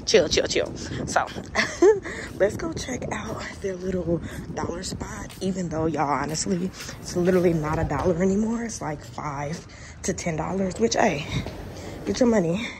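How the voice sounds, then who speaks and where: A woman's voice: on the low side, animated, moderate pace, noisy background. A young woman vlogger, chatting casually to her viewers and calling them y'all. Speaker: lifestyle vlogger. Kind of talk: casual chat to camera. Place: home, then shopping in a store.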